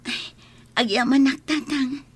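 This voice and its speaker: A woman's voice: sits high, fairly even intonation, measured pace, husky, full character voice. An actress playing a worried, long-suffering wife and mother in an Ilocano radio drama, earnest and emotional, with sighs. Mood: grateful.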